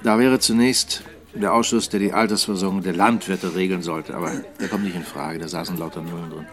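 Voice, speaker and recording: A wry journalist in a German radio drama. The voice sits a little low, and the talk is conversational and musing, like a well-informed friend sharing what he has. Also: steady tone, fairly quick, a bit bright.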